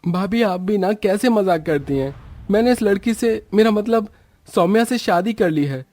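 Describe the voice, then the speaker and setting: A man's voice: high voice, expressive, normal speed, darkish tone. A man playing an earnest young newlywed husband in a dramatised moral story, speaking insistently. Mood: sheepish.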